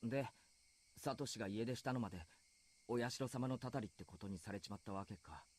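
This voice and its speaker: A man's voice: mid-range voice, very fast, breathy edge, close to an everyday voice. A man acting an earnest, curious teenage boy in a Japanese visual novel. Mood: earnest, subdued.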